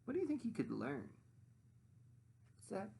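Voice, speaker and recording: A man narrating a children's story expressively as a read-aloud teacher, pausing to muse and put questions to listeners. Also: sits high, gravelly, a little hiss or hum. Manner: animated, pausing to muse and prompt.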